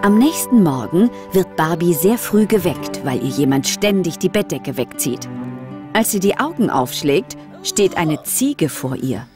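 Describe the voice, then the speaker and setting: A woman narrates a children's story from a script. Her voice sits a little low and moves expressively, with music underneath. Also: big pitch swings, a little slow.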